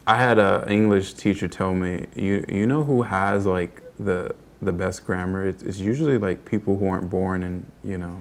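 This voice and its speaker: A man hosting a talk show interview, chatting casually and rambling with lots of fillers. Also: on the low side. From United States. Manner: rambling, many fillers like "you know" and "like".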